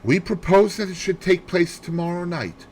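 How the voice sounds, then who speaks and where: A man's voice: sits high, animated, moderate pace, darkish tone, slight background noise, voice pushed up. A man voicing a grave, formal male doctor in a mystery audiobook, speaking with authority. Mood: brisk, formal.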